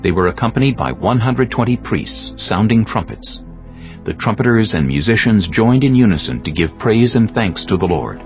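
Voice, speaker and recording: A man narrating scripture from a script in a measured, formal manner. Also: lowish voice, lively intonation. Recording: slightly dull audio with music bed.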